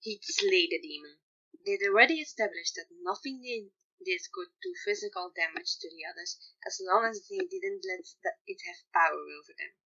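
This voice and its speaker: Amateur female story narrator, bright mid-range voice, occasional misreads, dull lo-fi home recording. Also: lowish voice, moderate pace. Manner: stumbles over and rereads words. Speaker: amateur fan fiction reader.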